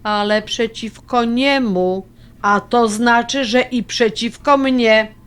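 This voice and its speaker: A woman's voice: fairly high pitch, fairly even intonation, slow pace, clean tone. A woman playing a stubborn, possessive elderly grandmother in an audio drama, blunt, folksy and grumbling. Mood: defiant.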